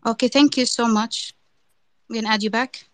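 Eager woman organizer, slightly high voice. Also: fairly even intonation, moderate pace, fairly bright tone. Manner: eager, grateful, laughing often. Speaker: fan event organizer. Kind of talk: casual hosting of an online fan event.

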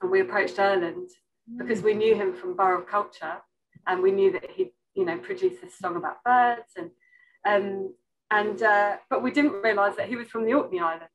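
A woman's voice: mid-range voice, moderate pace, lo-fi sound. A woman speaking informally as a curator on a panel over a video call, hesitantly searching for her words. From an island. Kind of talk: informal panel talk about an exhibition. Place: indoor room on a video call.

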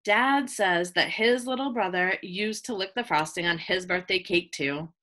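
A woman reading a children's picture book aloud like a teacher, with expressive delivery aimed at young listeners. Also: lowish voice, moderate pace. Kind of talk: children's read-aloud, scripted narration.

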